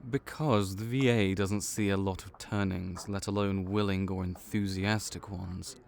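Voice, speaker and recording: A veteran vampire counselor, played by a man, works through paperwork and checkups in a calm, clinical manner. His voice sits fairly low, and he takes his time over every word. He sounds patient and bureaucratic, like a seasoned official in an audio roleplay. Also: vocal fry, slight background noise. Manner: measured, clinical, patiently reassuring.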